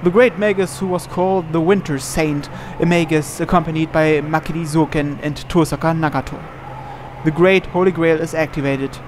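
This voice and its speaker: A man's voice: on the high side, fairly even intonation, moderate pace, darkish tone, slight background noise. A man reading visual novel narration aloud dramatically, as a let's player.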